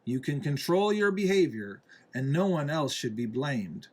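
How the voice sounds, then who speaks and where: A man reading a children's picture book aloud in a deliberate way, pausing between phrases. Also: fairly high pitch, expressive. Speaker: reader, parent reading to a young child.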